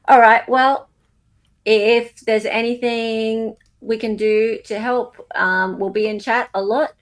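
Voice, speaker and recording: Effusive Australian woman with a higher-pitched voice. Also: fairly even intonation, slow. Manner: effusive, grateful, encouraging. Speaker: livestream host. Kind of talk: casual live chat with viewers.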